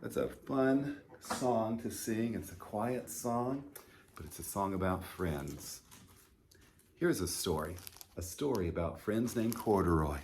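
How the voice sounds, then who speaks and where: A man who is a pastor hosting storytime, talking and reading to children in simple, unhurried phrases with questions to young listeners. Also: fairly low pitch, expressive, darkish tone, slight background noise. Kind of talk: gentle storytime talk and picture-book reading for children.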